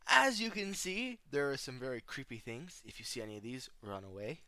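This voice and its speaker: Bright, forceful man giving flippant commentary. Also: medium pitch, animated, a little fast, dry recording. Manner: sarcastic, flippant, slangy. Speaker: video host reacting to analog horror. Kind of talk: casual, joking commentary with asides.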